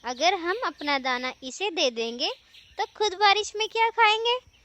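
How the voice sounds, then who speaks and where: A selfish cartoon crow wife, performed by a woman, scolds her husband in a very high voice. She is scornful and nagging. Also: lively intonation, measured pace, pushed and bright, full character voice. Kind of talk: children's cartoon story acting.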